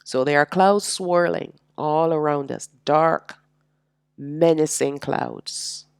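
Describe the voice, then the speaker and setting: A woman teaching a slow, reflective sermon, sounding nasal and congested from allergies, with drawn-out, emphatic phrasing. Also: low voice, creaky, clean tone, no echo, clean studio sound. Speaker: religious teacher.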